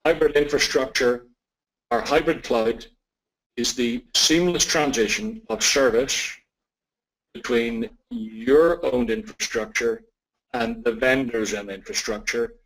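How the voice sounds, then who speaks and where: A man with an Irish accent answering questions as a technology expert, speaking in a deliberate, measured way with emphatic repetition. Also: medium pitch, steady tone, a bit bright, rough recording. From Ireland.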